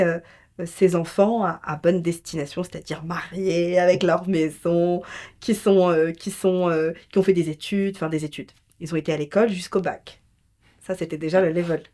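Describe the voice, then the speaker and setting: A woman's voice: on the low side, moderate pace, a little mellow. A woman speaking conversationally as an interview guest, telling personal stories in an emphatic, colloquial way. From France. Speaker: interview guest, entrepreneur and business mentor.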